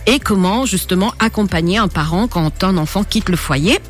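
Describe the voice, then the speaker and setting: A woman's voice: medium pitch, moderate pace, soft background music. A woman hosting a radio health show, asking her guest questions one after another. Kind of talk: radio interview. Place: radio studio.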